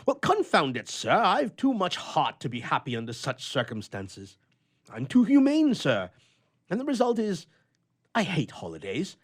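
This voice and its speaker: A man's voice: fairly high pitch, huge pitch swings, normal speed, voice pushed up. A man voicing an irritable, lonely bachelor in a storybook reading, with emphatic, indignant, old-fashioned oratory. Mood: indignant misery.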